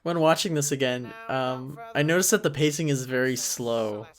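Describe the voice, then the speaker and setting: A man talks in an unscripted, reflective way as a creator commenting on his own work. His pitch sits in the middle for a male voice. He rambles a little and is frank about what could be better. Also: normal speed. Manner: rambling, reflective, self-critical. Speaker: creator giving commentary on own animated parody series. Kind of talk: casual unscripted director's commentary. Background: episode audio with cartoon voices playing under the talk.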